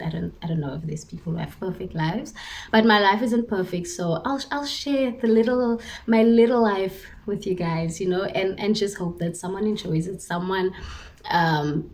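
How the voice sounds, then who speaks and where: A woman's voice: medium pitch, darkish tone, slight background noise. A talkative young woman in her twenties from Cape Town, with a Cape Town South African accent and Afrikaans as her first language. She chats casually to the camera at home, in a self-mocking way, laughing often and sometimes slipping into a whisper. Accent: Cape Town South African English. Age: 20s. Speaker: vlogger, primary school teacher.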